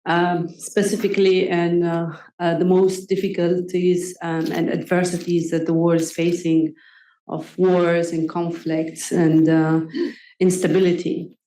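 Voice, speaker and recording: A young adult woman from Palestine, speaking on a conference panel in an earnest, measured way with frequent pauses and ums. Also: lowish voice, flat delivery, dark tone. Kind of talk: panel discussion answer. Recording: echoey conference room.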